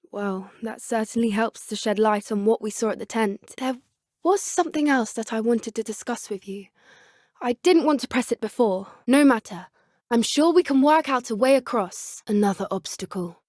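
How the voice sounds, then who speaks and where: An earnest, plucky schoolgirl witch from a video game, acted by a woman, speaks in a fairly high British voice. Her phrasing is polite and a little old-fashioned, that of a girl who cares about creatures. The sound is dry, with no room on it. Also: darkish tone, no echo, lightly acted. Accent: British English. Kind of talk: scripted game character dialogue.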